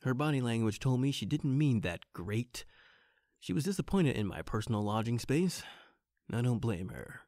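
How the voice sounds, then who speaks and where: An actor playing a wry hard-boiled private detective in a noir audio drama, both narrating and in dialogue. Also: male voice, medium pitch, sing-song, moderate pace, darkish tone, no echo, studio quality, natural voice.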